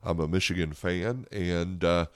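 A low, slightly hoarse male voice with an American accent, recorded close and dry. He is a pastor hosting a podcast, preaching conversationally and putting earnest, direct questions to his listeners. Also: fairly even intonation, measured pace, no echo.

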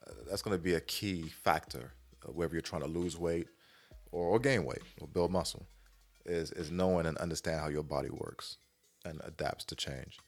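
A man with a very low voice gives his opinions in loose, conversational banter as a podcast co-host. He talks in colloquial phrases and tends to repeat a point to drive it home. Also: moderate pace, faint music behind. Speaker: podcast co-host giving advice.

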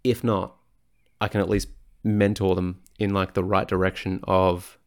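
A hoarse man, talking frankly and swearing casually as he hosts his solo podcast. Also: sits low, level tone, a little slow. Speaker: personal trainer and podcast host.

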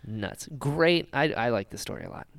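A man's voice: mid-range voice, moderate pace, smooth, no echo. A man hosting a podcast, chatting casually and enthusiastically as a fan. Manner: enthusiastic, animated. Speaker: podcast host.

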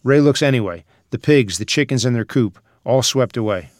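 A man's voice: medium pitch, lively intonation, normal speed, no echo, clean studio sound. A man reading out screenplay scene headings and stage directions as a scripted narrator.

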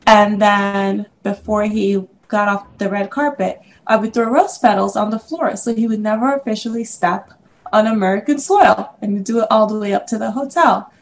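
A middle-aged woman from the Congo, an interview guest and business owner, telling stories in a lively way and laughing often. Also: mid-range voice, moderate pace, a little hiss or hum. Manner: animated storyteller, laughs often. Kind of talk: casual interview conversation.

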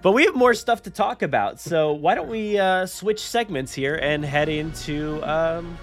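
A man's voice: fairly high pitch, lively intonation, measured pace, soft background music. A man hosting a podcast in an informal, enthusiastic, conversational way. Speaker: podcast host. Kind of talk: casual podcast chat.

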